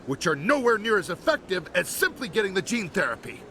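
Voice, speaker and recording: An actor playing an exasperated, urgent brother-in-law in a video game drama, insistent and pleading. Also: male voice, high-pitched, very animated, a little fast, bright, forceful tone, a little hiss or hum, full character voice.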